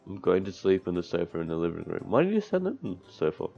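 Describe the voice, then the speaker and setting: Deep, gravelly man reading a visual novel. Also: huge pitch swings, fast, mellow. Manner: crude, profane, sardonic asides. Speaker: streamer playing a visual novel. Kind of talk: let's play, reading game text aloud with commentary. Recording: dull lo-fi mic, music behind. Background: game music.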